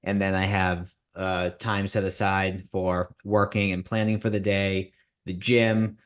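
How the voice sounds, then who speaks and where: A man's voice: low voice, flat delivery, unhurried. A man in his thirties hosting a business podcast, chatting casually with candid, self-deprecating humor. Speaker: podcast host.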